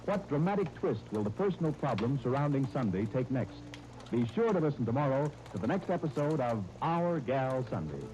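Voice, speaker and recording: A man's voice: medium pitch, sing-song, fairly quick, rough recording. A man announcing in 1930s radio style, reading scripted narration and sponsor copy with dramatic emphasis.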